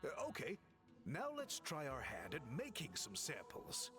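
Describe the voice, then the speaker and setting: Casting: courteous game artisan, mid-pitched man, expressive melody, measured and explanatory. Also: music in the background. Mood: pondering. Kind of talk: video game voice acting.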